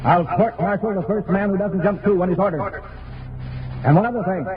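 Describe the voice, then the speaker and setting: An actor playing a stern, commanding naval spaceship captain in a radio drama, giving clipped military orders with authority. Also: male voice, sits high, brisk, very noisy, lightly acted. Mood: stern address. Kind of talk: old-time radio drama acting. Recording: tinny narrow-band old radio recording, music behind.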